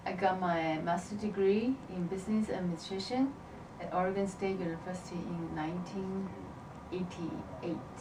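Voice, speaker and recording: A woman's voice: on the low side, fairly even intonation, moderate pace. Woman, 50s, brief wry interviewee, distant mic. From Taiwan. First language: Mandarin. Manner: brief, good-humoured answers. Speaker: interviewee, the host's mother. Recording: echoey room, distant mic, clear hiss.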